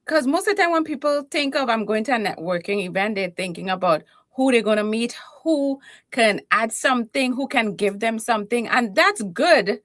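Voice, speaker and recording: A woman's voice: a little high, expressive, moderate pace, fairly bright tone, no echo, studio quality. A woman from Trinidad and Tobago with a Trinidadian accent, talking as a confident, humorous guest in an interview. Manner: playful, self-assured, humorous. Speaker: guest, keynote speaker and confidence coach. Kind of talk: conversational interview.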